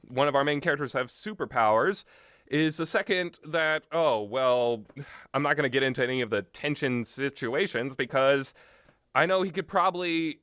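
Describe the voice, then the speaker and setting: A man's voice: fairly high pitch, a bit bright, dry recording, studio quality. A man giving casual, rambling commentary with strong opinions and an emphatic way of talking. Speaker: video host.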